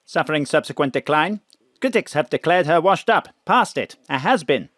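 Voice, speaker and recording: A prim robotic car assistant. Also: male voice, on the high side, expressive, normal speed, fairly bright tone, no echo. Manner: prim, courteous, slightly reproachful. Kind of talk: radio drama acting. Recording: dry home studio.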